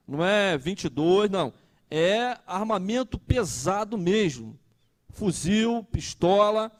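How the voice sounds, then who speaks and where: A man, preaching a conversational sermon and asking the congregation questions. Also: high-pitched. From Brazil. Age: 30s. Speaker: pastor.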